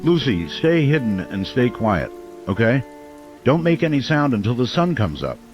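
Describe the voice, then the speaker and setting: A man's voice: mid-range voice, sing-song, moderate pace, close to an everyday voice. A man playing a weary, caring late-night radio host in a radio drama, speaking somberly and earnestly. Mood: gentle, urgent. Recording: dull muffled radio sound over music.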